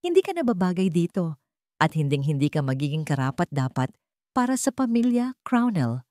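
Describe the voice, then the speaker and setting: A woman's voice: lowish voice, big pitch swings, moderate pace, warm tone, dry recording, studio quality. A woman voicing a cold, haughty older rich mother who speaks with contempt in an audio drama. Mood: haughty insult. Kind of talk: dramatic Tagalog audio story dialogue.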